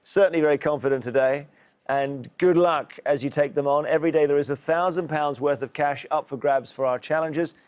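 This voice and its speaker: A middle-aged man hosting a television quiz show, brisk and jokey as he reads out the questions. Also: a little high, a little mellow, clean tone.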